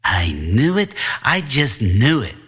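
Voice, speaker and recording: A wry, caring female nurse voiced by a man in a noir audiobook. The voice is husky and animated in pitch, teasing and knowing, with a slightly evasive air. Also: takes it slowly, full character voice.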